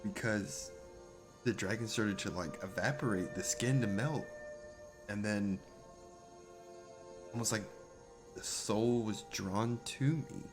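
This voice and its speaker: A man roleplaying a bewildered fantasy adventurer in a video game, thinking aloud in a halting, musing way. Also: fairly low pitch. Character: bewildered, hesitant male adventurer in a fantasy world. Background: music.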